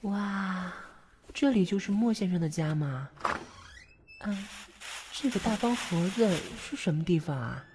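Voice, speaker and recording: A woman as a dreamy slow-spoken little tomato girl. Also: mid-range voice, lively intonation, darkish tone, close to an everyday voice. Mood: dreamy, curious. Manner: dreamy, wondering, bashful. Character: lovestruck innocent young female cherry tomato. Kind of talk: Chinese audio drama acting. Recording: noisy background with clicks.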